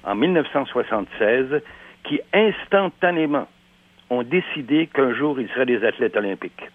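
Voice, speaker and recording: A man's voice: medium pitch, expressive, moderate pace, dry recording. Man on a phone line. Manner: emphatic, repeats words while searching, many hesitations. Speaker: radio guest commentator. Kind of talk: opinionated radio commentary. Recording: dry phone-line sound.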